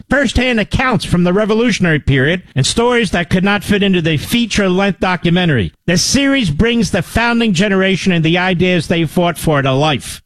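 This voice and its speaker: Guy reading an ad, bouncy lively voice, pretty high, dry close mic. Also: dry recording. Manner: polished and enthusiastic. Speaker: ad announcer. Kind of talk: scripted ad read.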